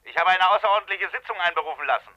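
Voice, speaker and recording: Sharp, taunting gangster on the phone. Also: male voice, high-pitched, rapid-fire, muffled recording. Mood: mocking menace. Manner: taunting, cold, commanding. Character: menacing, mocking American gangster rival on the telephone. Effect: telephone voice filter. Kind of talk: German radio play acting.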